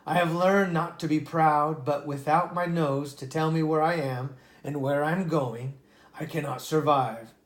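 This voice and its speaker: A reader voicing a proud old male wolf, humbled and unable to smell, in slow, solemn storybook dialogue. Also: mid-range voice, steady tone, reverberant, close to an everyday voice. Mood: sorrowful.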